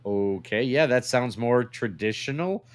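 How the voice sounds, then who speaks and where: A man's voice: mid-range voice, animated, unhurried. A man co-hosting a podcast in casual, sarcastic banter, needling as he talks. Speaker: podcast co-host.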